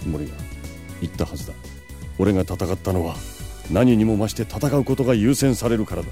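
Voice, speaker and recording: A proud, composed vampire lord acted by a man for an audio drama. His voice is fairly low and dark, smoothly self-assured, with faint music behind. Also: animated, fast talker, close to an everyday voice. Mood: composed, patient. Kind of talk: anime-style audio drama acting.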